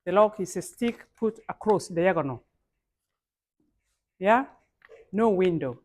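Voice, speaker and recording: A woman's voice: on the low side, lively intonation, takes it slowly, a little mellow. A woman with a Kenyan accent speaking as a guest at a live event, in an emphatic style that addresses the audience directly and repeats key phrases. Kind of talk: motivational speech.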